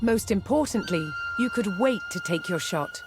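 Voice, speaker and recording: A woman narrating a documentary from a script with measured, dramatic delivery. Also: a little high, wildly swooping pitch. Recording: loud music behind. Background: music.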